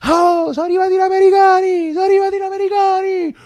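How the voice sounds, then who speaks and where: An actor voicing excitable Roman children shouting news in the street, shrill and exclamatory, in a Roman accent. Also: male voice, fast. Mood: breathless excitement.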